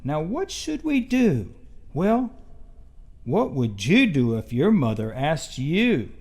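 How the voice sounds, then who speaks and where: A man hosting a children's show, telling stories in an unhurried, expressive way. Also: a little high. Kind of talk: storybook read-aloud and talk to children.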